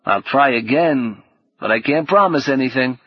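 Audiobook AI sidekick, played by a man: wry, offhand, loyal, husky mid-range voice, dry recording. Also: mid-range voice, normal speed, no room sound, muffled recording, lightly acted. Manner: casual, clipped, deferential wisecracking. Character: wry, streetwise software assistant, loyal and offhand.

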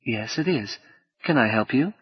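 A man voicing a polite, gently distracted suburban mother with a slight Southern US accent in an audiobook. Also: medium pitch, lively intonation, normal speed, lo-fi sound, close to an everyday voice. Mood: polite, vague.

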